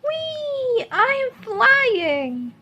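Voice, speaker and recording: A woman's voice: very high-pitched, big pitch swings, very slow, pushed and bright, muffled recording, a little hiss or hum, voice pushed up, heavily acted. A woman voicing a small, young, stubborn boy mole from a children's storybook, with emphatic childlike exclaiming. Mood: thrilled.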